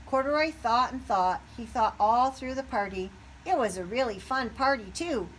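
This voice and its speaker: A woman reading a children's picture book aloud expressively, pausing to put questions to young listeners. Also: a little high, a bit bright, slight background noise, rough recording.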